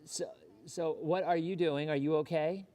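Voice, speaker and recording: A hoarse man hosting a live weather show, chatting casually and answering viewer questions, often repeating words as he thinks. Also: on the high side, steady tone, moderate pace, warm tone.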